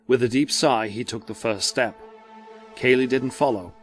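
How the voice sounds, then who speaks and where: A man narrating a dramatic story from a script in a measured, descriptive way. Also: medium pitch, soft background music.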